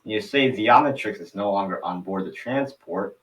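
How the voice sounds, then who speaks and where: A man's voice: on the low side, a little fast, far from the mic, close to an everyday voice, poor audio. A man voicing a wounded alien warlord, menacing, angry and imperious, in a cartoon table read. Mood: indignant. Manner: commanding, imperious. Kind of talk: animated series table read.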